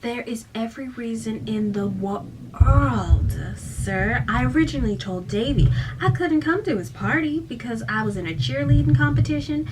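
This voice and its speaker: A woman voicing a teenage girl who fakes a sweet, demure Southern cheerleader drawl in a story, with breathy, stretched syllables and a pleading manner, recorded away from the mic. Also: on the high side, animated, moderate pace, far from the mic, noisy background, voice pushed up, lightly acted.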